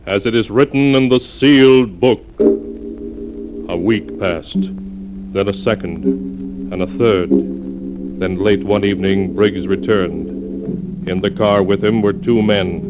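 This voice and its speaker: A man narrating a 1940s radio drama from a script in a measured, dramatic way. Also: fairly low pitch, low-quality recording. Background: loud music.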